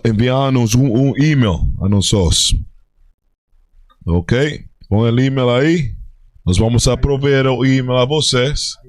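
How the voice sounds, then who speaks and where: A man with a Spanish accent leading a live stream, greeting viewers by name and speaking halting, self-correcting Portuguese mixed with Spanish words, turning fiery and exhorting when preaching. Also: medium pitch, no echo. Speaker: religious group leader answering viewers.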